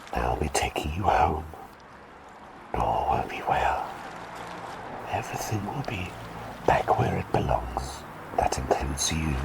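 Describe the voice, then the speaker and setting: A man voicing a quirky, kindly male bird plague doctor in whispered ASMR roleplay, rambling and halting at times, then soft and reassuring. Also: very deep voice, vocal fry, exaggerated voice, low-quality recording. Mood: soothing.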